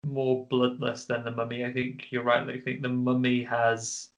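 British man, mid pitch, relaxed musing podcast talk. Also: moderate pace, lo-fi sound. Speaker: podcast co-host. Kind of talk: casual podcast chat.

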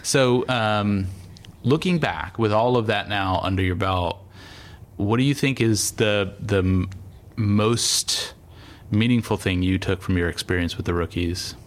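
Low-voiced man asking rambling questions. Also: a little slow. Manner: thoughtful, rambling questions with many "uh"s. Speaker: interview host. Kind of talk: conversational interview. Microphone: remote call.

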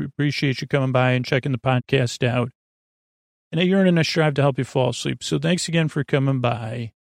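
A man with a creaky, gravelly voice hosting a sleep podcast, meandering and halting through tangents and filler words. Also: mid-range voice, no room sound, studio quality. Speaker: podcast host. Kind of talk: rambling bedtime sleep podcast monologue.

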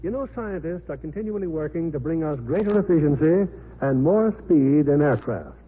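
A man's voice: fairly high pitch, expressive, normal speed, slightly rough. A man hosting and narrating a 1950s radio theatre show in a polished, formal, scripted showman's style. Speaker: host and narrator. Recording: narrow-band 1950s radio recording.

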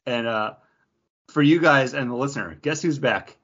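A man co-hosting a podcast in casual chat, rambling and animated with lots of restarts. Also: mid-range voice, normal speed. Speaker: podcast co-host. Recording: dull lo-fi podcast audio.

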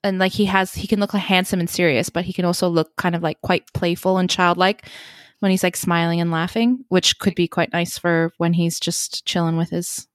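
A woman's voice: on the low side, steady tone, fairly quick, no echo. A woman in a casual panel chat, informal and thinking aloud as she talks.

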